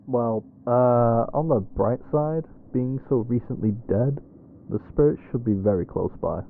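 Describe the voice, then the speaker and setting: A man's voice: mid-range voice, measured pace, narrow, tinny audio, slight background noise, lightly acted. A man playing a cocky, defensive ghost hunter in an audio roleplay, talking in a wry, sweary, conversational way. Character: Reggie, cocky defensive male ghost hunter, quick with excuses.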